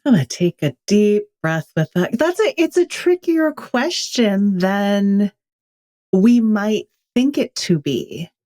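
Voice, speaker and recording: A woman's voice: mid-range voice, big pitch swings, slow, warm tone. A woman from California speaking as an author guest in an interview, thoughtful and candid. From California, USA. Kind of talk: reflective interview talk.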